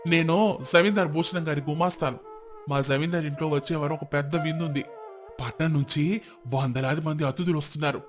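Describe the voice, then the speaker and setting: Man as a deferential, fairly high-voiced clerk. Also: a bit bright, lightly acted. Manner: deferential, earnest. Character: polite, eager, flattering male clerk serving a landlord.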